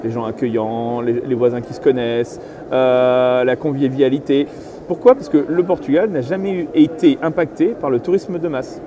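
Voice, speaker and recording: A man's voice: mid-range voice, steady tone, moderate pace, mellow. A middle-aged man giving spontaneous interview answers as a property consultant, explaining things step by step. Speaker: real estate agent and consultant being interviewed. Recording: clear urban background noise, trade fair interview.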